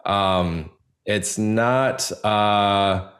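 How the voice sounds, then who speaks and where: A man speaking casually and informally as an interview guest, in a halting way with many filler 'likes'. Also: on the low side, a bit bright. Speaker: actor, podcast guest. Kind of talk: casual personal interview talk.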